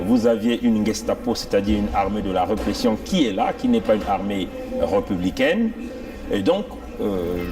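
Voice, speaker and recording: Grave low-voiced man listing grievances. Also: mellow. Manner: grave, lists grievances with many hesitations. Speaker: political guest. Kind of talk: political TV interview. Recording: distant mic with faint music.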